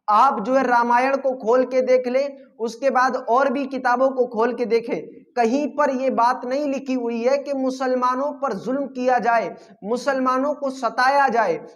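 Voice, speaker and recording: A commentator addressing viewers in an emphatic, repetitive and rhetorical way, as the host of an opinion video. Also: high-pitched.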